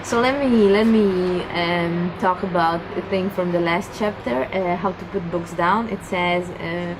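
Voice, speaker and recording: A young woman from Romania with a Romanian accent, chatting through a casual book review in halting English with many restarts. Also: on the low side. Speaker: book vlogger. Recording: clear background hum.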